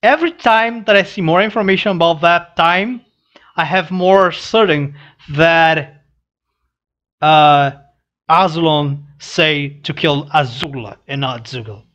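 A young adult man giving casual read-along commentary, halting and restarting his phrases as he goes. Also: fairly high pitch, fairly bright tone, lo-fi sound. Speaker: reaction video host. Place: small room indoors. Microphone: headset boom mic.

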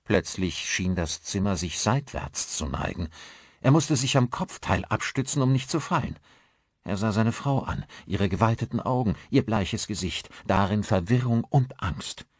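A man narrates a horror audiobook in a deep, somewhat raspy voice. His delivery is theatrical and suspenseful, with very lively intonation. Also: normal speed, dry recording, clean studio sound. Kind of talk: dramatic scripted narration of a horror story.

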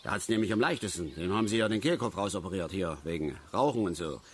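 A man's voice: sits low, expressive, fast, a little hiss or hum. An actor playing an embittered, touchy middle-aged male angler who rambles and grumbles irritably in a radio play. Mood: aggrieved. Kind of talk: German radio play acting.

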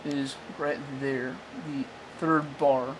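A man giving a casual, unscripted how-to demonstration in a halting, rambling way, often correcting himself, talking from behind a handheld camera. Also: mid-range voice, little pitch movement, noisy background. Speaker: amateur tech tutorial presenter. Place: small indoor room at a desk. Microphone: handheld camera, off-screen close behind it.

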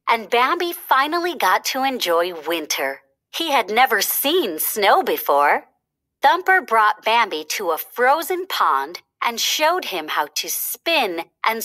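A woman narrates a children's storybook in a bright, clear-cut storytelling manner. She takes her time, and her pitch moves in lively, expressive swings as she reads aloud.

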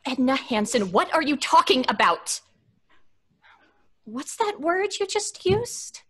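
A woman's voice: high-pitched, sing-song, slow pace, fairly bright tone, exaggerated voice. A woman playing a sensible, firm, protective young woman, earnest and emphatic, in old-time radio drama style.

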